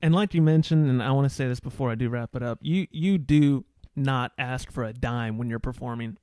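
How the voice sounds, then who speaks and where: A man's voice: mid-range voice, brisk, warm tone, clean tone, dry recording, studio quality. A man hosting a casual podcast interview, chatty and given to joking, teasing asides. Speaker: podcast host. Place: indoor studio.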